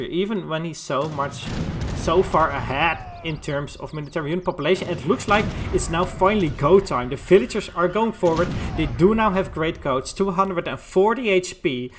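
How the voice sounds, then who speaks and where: A man's voice: on the high side, background noise. A Dutch man with a Dutch accent doing solo play-by-play commentary of a strategy game, with frequent ums and restarts. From Netherlands. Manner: many fillers and restarts, chats with the live chat. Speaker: esports caster.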